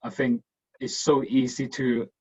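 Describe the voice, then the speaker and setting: A man's voice: medium pitch, level tone, measured pace, husky. A man on a writers' panel, reflecting candidly and with self-deprecation, often repeating himself, heard over an online call.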